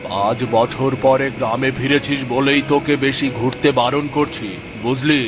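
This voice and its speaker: A man playing a protective, worried father in a cartoon story, with a gently scolding, questioning manner. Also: mid-range voice, fairly even intonation, moderate pace, over music, close to an everyday voice. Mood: concerned, chiding.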